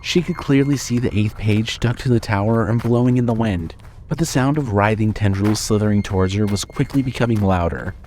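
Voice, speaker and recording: A man's voice: fairly low pitch, a little fast, darkish tone, slight background noise. A man reading scripted horror fiction as the narrator of an audio drama.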